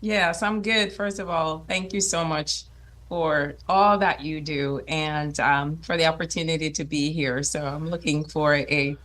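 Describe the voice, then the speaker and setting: Woman from jamaica, deep voice, getting over a cold, video call guest. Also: steady tone, unhurried, fairly bright tone. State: recovering from a cold. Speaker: guest entrepreneur. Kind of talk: casual interview talk.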